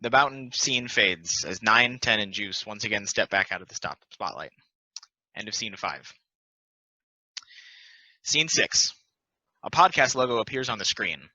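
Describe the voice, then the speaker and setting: Man reading stage directions, bright sharp voice. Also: lowish voice, animated, a little slow, pauses often, dry recording, muffled recording. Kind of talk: play table read, reading a script.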